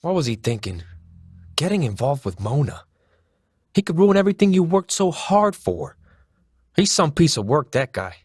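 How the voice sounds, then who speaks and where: A man's voice: on the high side, very animated, normal speed, pauses often, darkish tone, breathy edge, dry recording, clean studio sound. A man acting as a blunt, scornful, needling crony of a crooked mayor in an audio drama. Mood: grumbling.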